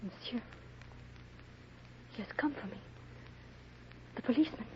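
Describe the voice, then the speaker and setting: A woman's voice: fairly high pitch, animated, a little slow. An actress playing a feverish, dying young mother pining for her child, fragile and pleading, in a melodramatic 1930s radio drama. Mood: weak, wistful. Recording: dull noisy vintage radio recording.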